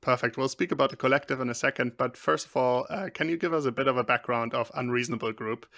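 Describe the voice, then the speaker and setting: Bright-voiced male podcast host. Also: medium pitch, fast talker, no room sound, studio quality. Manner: friendly, conversational questioning with fillers. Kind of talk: podcast interview questions.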